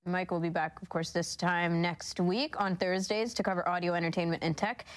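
A woman's voice: on the low side, level tone, normal speed. A woman, chatting casually as co-host of a radio magazine show. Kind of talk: casual broadcast chat.

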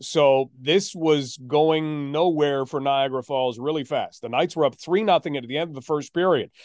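A man hosting a sports podcast, telling stories conversationally with a deliberate, emphatic build-up. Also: a little high, a little mellow, no room sound, clean studio sound. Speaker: podcast host and sports announcer.

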